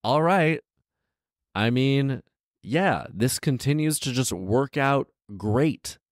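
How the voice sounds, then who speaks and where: A man's voice: mid-range voice, animated, takes it slowly, no room sound, clean studio sound. A man hosting a story podcast, giving casual comedic commentary in an incredulous, wry, slangy way with emphatic repeats. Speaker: podcast host reading and riffing on a fairy tale.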